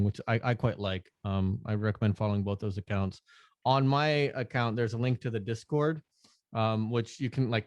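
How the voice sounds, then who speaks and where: A man talking as a podcast guest in casual chat, relaxed, laughing often and restarting sentences. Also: fairly low pitch, no echo, muffled recording. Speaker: podcast guest, NFT artist.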